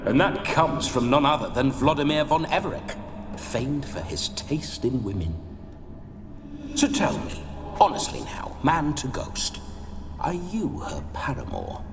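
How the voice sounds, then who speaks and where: A leering, boastful aristocratic ghost in a fantasy game, drenched in long reverb. The florid lines swoop widely and unfold slowly over music. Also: male voice, fairly high pitch, very animated. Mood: boastful, conspiratorial. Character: roguish male nobleman ghost, lecherous and boastful. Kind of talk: video game acting.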